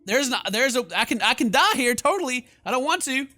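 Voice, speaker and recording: A man's voice: extremely high pitch, expressive, fairly quick, bright, forceful tone. A man hosting a gaming video, reading story text aloud and commenting in a jokey, theatrical way. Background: game music.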